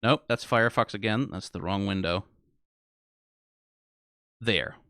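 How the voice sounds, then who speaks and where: A man giving off-the-cuff reaction commentary, informal and emphatic with casual swearing, rambling and halting as if short on sleep. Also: on the low side, bright, forceful tone, no room sound, clean studio sound. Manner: rambling, halting, trailing off mid-thought. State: tired after being awake for twenty hours. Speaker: host of a reaction video.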